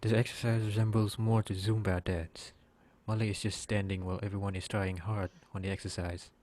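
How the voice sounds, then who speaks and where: A man narrates a scripted story. His voice is fairly low and stays very even in pitch. He reads it out plainly as a drama narrator. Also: brisk.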